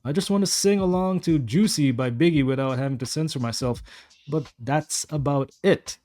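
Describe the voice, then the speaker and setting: Man playing a mellow-voiced, defensive, fed-up guy. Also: on the high side, moderate pace, natural voice. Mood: exasperated. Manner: blunt, defensive, rambling confession. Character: defensive, fed-up, bigoted male security guard, a father. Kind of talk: dramatic monologue in an audio story.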